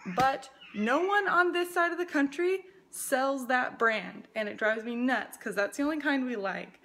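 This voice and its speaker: A woman's voice: high-pitched, lively intonation, a little slow. A woman in her twenties chatting casually in a home vlog, filmed on a phone at arm's length, often saying "like". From US West Coast. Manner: chatty, lots of "like", hushed to avoid waking a sleeping baby. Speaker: family vlogger. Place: small home rooms indoors. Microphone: phone camera at arm's length.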